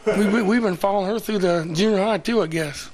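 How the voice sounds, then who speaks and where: Hoarse, gravelly local track commentator man. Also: high-pitched, fairly quick, darkish tone, a little hiss or hum. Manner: chatty, rambling, folksy. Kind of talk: casual play-by-play commentary. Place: outdoor track meet sidelines.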